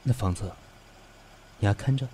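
An actor playing a composed, shrewd, gently teasing businessman in an audio drama, speaking calmly and dryly. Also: on the low side, moderate pace, a little hiss or hum, close to an everyday voice. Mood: amused. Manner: calm, concise, dry. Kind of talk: Chinese audio drama acting.

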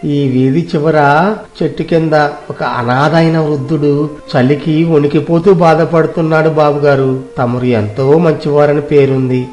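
A man's voice: fairly high pitch, steady tone, normal speed, a little mellow, close to an everyday voice. A man playing humble, pleading young peddler, echoey. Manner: deferential, pleading. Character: kind, humble young village cloth peddler, earnest and pleading. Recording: roomy echo, music behind.

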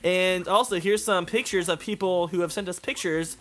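A man's voice: sits high, fairly even intonation, normal speed, fairly bright tone. A young man co-hosting a gaming show, chatting casually and jokily while he plays. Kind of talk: casual joking chat over video game play.

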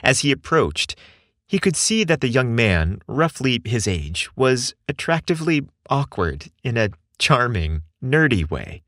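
A man narrating a romantic story in an expressive, earnest way, recorded close on a studio mic. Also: medium pitch, unhurried, no echo. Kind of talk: scripted narration.

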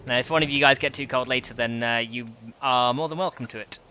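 A man's voice: medium pitch, steady tone, bright, forceful tone, slight background noise. A man hosting a livestream, narrating what he does in the game and joking with his co-players. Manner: narrates his own play, quick to laugh. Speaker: livestream host. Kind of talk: casual gaming chat.

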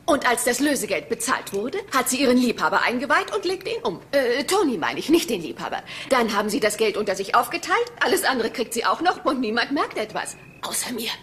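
A woman's voice: a little high, big pitch swings, brisk, hardly any pauses, a bit bright, slight background noise. An actress playing a naive, chatty woman angling for a reward in a German crime radio play, rambling and ingenuous. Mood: excited, speculating.

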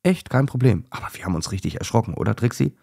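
A man's voice: on the low side, animated, fast talker, gravelly, darkish tone, breathy edge, pitched above the performer's own voice, heavily acted. A man voicing an easygoing, good-natured male German shepherd dog in a children's story. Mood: forgiving.

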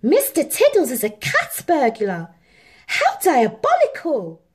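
A woman voicing an excitable young boy in a storybook, earnest and exclamatory. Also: high voice, takes it slowly, voice pushed up, exaggerated voice. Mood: shocked. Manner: exclamatory, dramatic.

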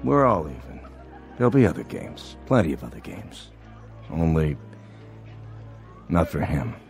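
An actor playing a cool, hard-nosed gambler in a radio drama, terse and unruffled. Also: male voice, a bass voice, very animated, measured pace, heavily acted. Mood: gruffly merciful. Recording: radio drama with music underneath.

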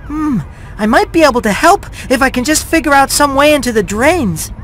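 A woman's voice: high voice, lively intonation, moderate pace. A woman voicing a plucky, polite young boy mouse in a video game, chipper and earnest. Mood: eager, helpful. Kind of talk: video game cutscene voice acting.

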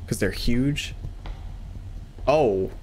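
A man's voice: mid-range voice, drawn-out words, darkish tone. A man in his twenties giving casual, slangy reaction commentary into a close desk microphone as a video host. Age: 20s. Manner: informal, slangy. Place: small room at home, indoors. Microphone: desk microphone close to the mouth, headset on. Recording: clear background noise from played video.

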